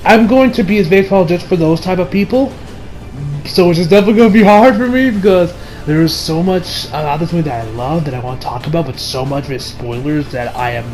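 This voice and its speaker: A young man with a high-pitched voice gives a casual movie review. He rambles, circling back to restate his points. Music plays clearly behind him. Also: quick pace, darkish tone, poor audio. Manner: rambling, repeats and rephrases himself. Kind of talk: casual spoken review over gameplay.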